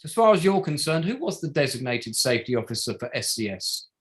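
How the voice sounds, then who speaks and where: An actor playing a probing, sardonic barrister at a public inquiry in a play reading, formal and pointed, pressing with rhetorical questions. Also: male voice, mid-range voice, sing-song, fairly quick, close to an everyday voice. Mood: methodical, insistent. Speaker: actor in an online table read. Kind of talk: courtroom-style cross-examination in a play reading.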